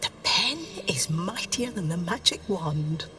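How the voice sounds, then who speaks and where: A woman playing a haughty, secretive keeper of arcane books in a video game, hushed and coolly condescending. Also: fairly low pitch, animated, a little slow, recorded from a distance. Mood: conspiratorial, smug. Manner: hushed, disdainful, clipped politeness. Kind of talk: dramatic video game acting.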